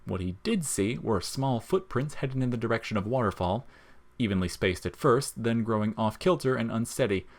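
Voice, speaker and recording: A man's voice: medium pitch, expressive, normal speed. A man reading scripted narration as the narrator of an audio story.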